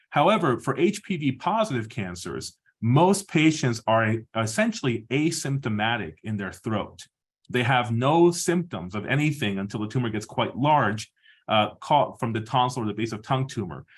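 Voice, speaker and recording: A middle-aged man from Peru giving a measured webinar lecture as a surgeon, explaining slides with frequent restarts and fillers. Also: mid-range voice, lively intonation, husky.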